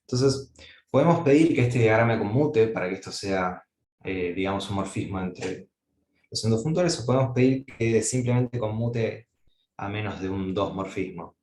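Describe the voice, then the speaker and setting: A man gives a university-style lecture, working through ideas aloud and pausing on fillers as he goes. His voice sits a little low. The recording carries a noticeable room echo. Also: lively intonation, a little mellow, slight background noise. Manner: thinking aloud, frequent "eh" fillers and restarts. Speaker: mathematics lecturer. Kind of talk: academic lecture at a whiteboard.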